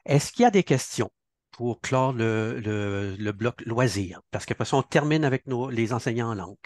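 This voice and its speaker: A man's voice: mid-range voice, animated, moderate pace, no echo. A man hosts an online event, and his voice sounds dry and close, with no room around it. He talks in a chatty, loose way, full of fillers, sometimes tossing in a Spanish greeting. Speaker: event host. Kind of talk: casual hosting on a video call.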